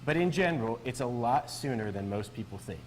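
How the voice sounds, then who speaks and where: A man, a doctor, answering questions in a TV interview and explaining his practice in a steady, explanatory way. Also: mid-range voice, a little fast, slight background noise, rough recording. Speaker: physician guest.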